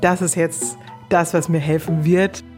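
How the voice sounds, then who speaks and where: A woman in her thirties talks casually as an interview guest about what she went through. Her voice is on the low side, with faint music underneath. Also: little pitch movement, a little slow. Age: 30s. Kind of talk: casual spoken recollection.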